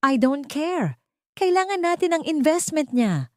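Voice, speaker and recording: A woman's voice: high-pitched, big pitch swings, measured pace, no room sound, studio quality, pitched above the performer's own voice. A woman voicing an anxious, greedy man, the grown son of a rich family, in an audio drama. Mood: desperate. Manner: anxious, stammering.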